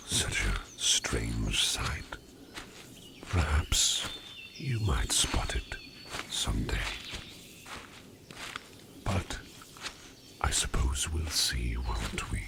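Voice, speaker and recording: A man voicing a gentle sleep demon in human disguise, speaking formally and haltingly in a breathy near-whisper for an ASMR roleplay. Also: extremely low pitch, heavily acted. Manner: slow, halting, formal phrasing. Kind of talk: ASMR roleplay, personal attention. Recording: noisy, outdoor nature sounds behind.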